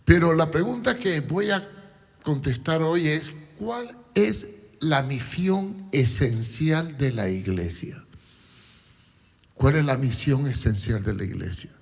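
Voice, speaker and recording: Slow, emphatic male preacher in a reverberant hall. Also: on the high side, lively intonation, creaky. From Cuba. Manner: deliberate, emphatic with repetition and rhetorical questions. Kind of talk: sermon. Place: large church hall.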